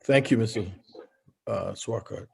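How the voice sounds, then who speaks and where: A man's voice: fairly low pitch, measured pace, creaky, slight background noise. A man chairing a city meeting as mayor, brief and procedural.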